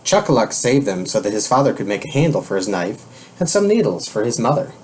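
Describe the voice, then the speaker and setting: A man reading a children's storybook aloud in a measured, expressive manner. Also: lowish voice, slight background noise. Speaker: storybook reader.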